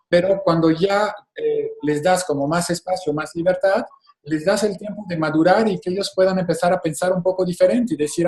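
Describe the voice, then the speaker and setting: A man speaking casually as a guest on a video call, a little higher-pitched than most. He stops and restarts his sentences, filling gaps with small sounds, and the room gives his voice a noticeable echo. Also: darkish tone. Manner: hesitant, many fillers and restarts. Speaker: company CEO, webinar guest. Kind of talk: casual conversational talk.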